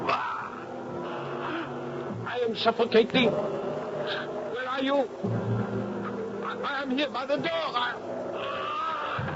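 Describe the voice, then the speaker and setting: An actor playing an excitable, fastidious Frenchman in a 1970s radio drama, dramatic and formal in phrasing. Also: extremely high pitch. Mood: panicked. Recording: muffled, very noisy old radio recording.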